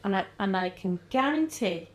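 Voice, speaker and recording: Animated woman with Liverpool-area accent. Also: mid-range voice, normal speed. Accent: mild Liverpool-area English. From Liverpool area, England. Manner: animated, acts out quoted voices, frequent laughs. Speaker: guest, wellness business founder. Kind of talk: casual podcast conversation.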